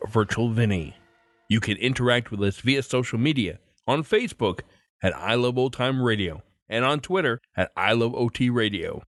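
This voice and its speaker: A man's voice: mid-range voice, no room sound, clean studio sound. A man hosting a podcast, reading a scripted introduction. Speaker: podcast host.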